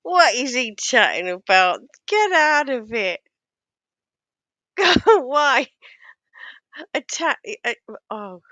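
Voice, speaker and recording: A woman giving casual, scornful reaction commentary on a clip, a little hoarse as she loses her voice. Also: on the high side, sing-song, slow pace, pauses often, sharp tone, dry recording. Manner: scornful, sarcastic, mocking. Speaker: YouTube commentator.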